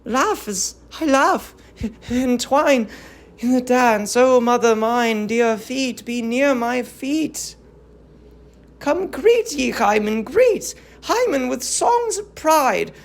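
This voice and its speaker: Woman acting a frenzied, slow-chanting young prophetess. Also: high-pitched, expressive, full character voice. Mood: frenzied rapture. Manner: declamatory, rapturous, incantatory. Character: frenzied young prophetess princess, ecstatic, doomed, vengeful. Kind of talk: classical tragedy dramatic reading.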